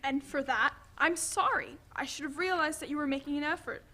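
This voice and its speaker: A woman's voice: high-pitched, fairly quick, pushed and bright, a little hiss or hum. A woman in a dramatic play reading, playing an insistent, apologetic partner in a troubled relationship. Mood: apologetic, pleading.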